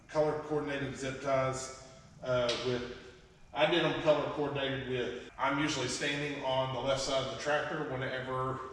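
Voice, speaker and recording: A man's voice: medium pitch, fairly bright tone, a little hiss or hum. A man, getting over a head cold, hosting a casual farm how-to vlog outdoors and rambling with many fillers. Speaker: farm vlogger. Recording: distant, echoey outdoor camera audio.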